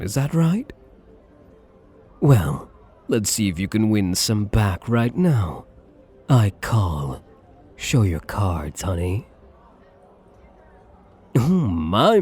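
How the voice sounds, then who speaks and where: A man playing a suave, possessive gambler in an intimate ASMR roleplay, flirtatious and quietly menacing, with a breathy voice and teasing, drawled pet names. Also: lowish voice, full character voice. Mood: curious, probing, then smug.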